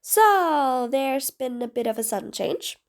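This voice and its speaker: A cool-headed female supervillain, as a woman plays her, blunt and sardonic, giving orders in clipped lines. Her voice sits high and swings widely in pitch. An audio roleplay with a commanding edge. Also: animated, a little slow. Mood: persuasive. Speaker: voice actor. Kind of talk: audio roleplay, one side of a dialogue.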